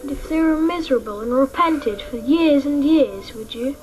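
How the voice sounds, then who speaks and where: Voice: high-pitched, normal speed, faint music behind, natural voice, rough recording. An actor playing an earnest young boy in a radio drama, asking probing, insistent questions.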